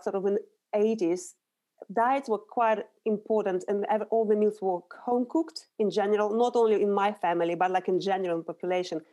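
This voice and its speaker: A middle-aged woman with a Russian accent talks through her routines and background as a podcast guest. Her voice is mellow and soft-edged, sitting at an ordinary middle pitch. She explains things earnestly and often restarts a phrase before going on. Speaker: guest, nutritional therapist. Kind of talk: conversational interview answers.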